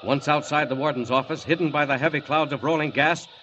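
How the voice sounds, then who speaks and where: A man's voice: fairly high pitch, fairly even intonation, fast talker, bright, forceful tone, a little hiss or hum. A man narrating a radio serial as announcer, in dramatic scripted 1940s style. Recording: muffled lo-fi old radio recording.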